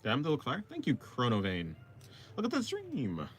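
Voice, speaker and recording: A man's voice: medium pitch, wildly swooping pitch, moderate pace, a little hiss or hum. A man of twenty, a live-streaming artist, chatting casually with his viewers and answering their comments while he draws. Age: 20s. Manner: laid-back, reads chat aloud and answers. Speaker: art streamer.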